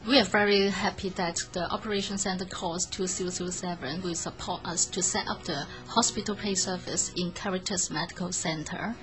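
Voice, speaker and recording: Low-pitched Hong Kong woman over music. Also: steady tone, brisk, few pauses, fairly bright tone, low-quality recording. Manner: earnest, non-native English phrasing. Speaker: manager of a children's hospital play service. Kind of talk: prepared spoken presentation for a charity video. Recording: music behind the voice. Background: background music.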